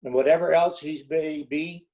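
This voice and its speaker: A man playing a measured, skeptical official in old-time radio comedy style. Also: a little high, fairly even intonation, mellow, natural voice. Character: measured, skeptical male official over the principal. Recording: dull remote video-call audio.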